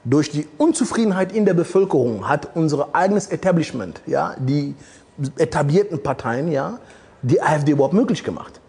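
A man's voice: on the high side, lively intonation, fairly quick, warm tone, breathy edge. A man in his forties from Congo, a guest in a studio interview, talking bluntly with many hesitations on a lapel mic. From Democratic Republic of the Congo. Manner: blunt, provocative, frequent hesitations. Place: studio set with red curtains.

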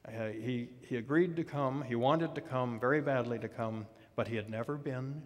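Older man telling a story in a hall. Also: mid-range voice. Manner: storytelling, with pauses and restarts. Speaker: speaker. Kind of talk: storytelling talk. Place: dark auditorium stage. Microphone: headset. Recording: long hall reverb in a large hall.

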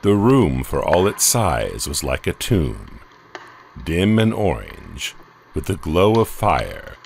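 A man with a very deep voice reads a horror story slowly and dramatically, and his pitch rises and falls widely. Faint ambient noise sits under the reading. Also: huge pitch swings, vocal fry, a little mellow. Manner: slow and dramatic. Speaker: narrator. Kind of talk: audiobook horror narration.

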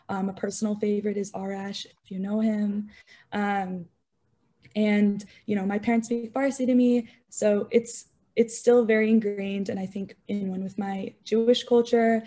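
A young woman talks casually on a video call, her mellow, soft-edged voice full of easy fillers. Her delivery is relaxed and conversational. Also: mid-range voice, moderate pace. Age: young adult. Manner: casual, frequent "um" and "like". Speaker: student panelist. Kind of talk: online panel discussion.

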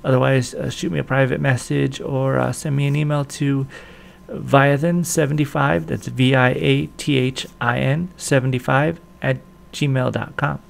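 A man's voice: medium pitch, steady tone, normal speed, creaky. A man in his forties casually hosting a video introduction to old-time radio shows.

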